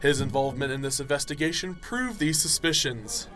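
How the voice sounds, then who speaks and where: A man playing a brisk, no-nonsense, somewhat smug undercover police officer in an amateur radio drama. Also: medium pitch, pushed and bright, soft background music, natural voice. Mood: satisfied.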